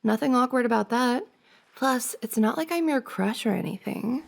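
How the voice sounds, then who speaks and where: A woman's voice: fairly high pitch, expressive, normal speed, creaky. A woman playing a playful, teasing young woman, a best friend's older sister, in a giggly, casual ASMR roleplay. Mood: playful reassuring. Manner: giggly, teasing banter with frequent soft laughs.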